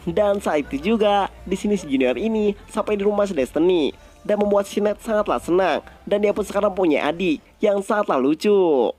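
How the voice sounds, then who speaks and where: A man's voice: high voice, animated, a little fast, a little mellow, faint music behind. A man with an Indonesian accent narrates a film recap in a casual style.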